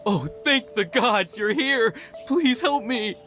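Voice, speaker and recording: A woman's voice: fairly high pitch, animated, slow pace, a bit bright, soft background music. A woman voicing a frightened, earnest guard just rescued in a video game, speaking anxiously and pleading.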